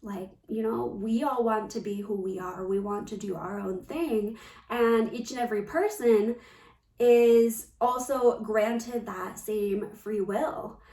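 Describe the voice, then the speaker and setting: A woman giving a reflective spiritual talk as a coach, gentle and earnest, often pausing mid-phrase. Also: on the high side. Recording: roomy echo, distant from the mic.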